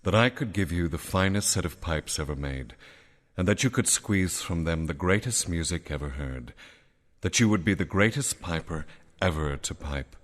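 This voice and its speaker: An actor playing a smooth, sinister male devil who coaxes a man into trading his soul, speaking slowly and silkily. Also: low-pitched, animated. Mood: silky menace. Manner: slow, silky, coaxing, menacing. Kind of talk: radio drama acting.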